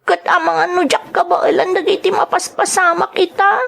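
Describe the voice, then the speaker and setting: A woman's voice: very high-pitched, steady tone, normal speed, gravelly, a bit bright, heavily acted. A woman playing a poor, hurt but determined young schoolgirl in an Ilocano drama, with a Filipino Ilocano accent and a plaintive, pleading delivery. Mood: tearful prayer. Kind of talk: Ilocano radio-style drama acting.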